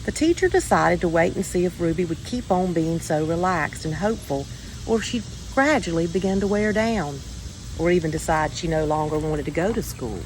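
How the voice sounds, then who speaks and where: Female storybook reader, slightly low register, measured and expressive delivery, deliberate pauses, faint noise behind. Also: poor audio. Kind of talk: scripted children's story reading.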